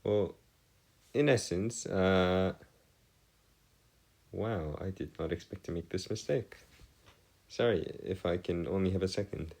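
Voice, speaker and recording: A man's voice: deep voice, measured pace, gravelly, long pauses, darkish tone, low-quality recording. A man giving an explanatory math lesson as a tutor, speaking in formal, wordy phrases and hesitantly correcting himself as he goes. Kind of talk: semi-improvised explanatory lesson.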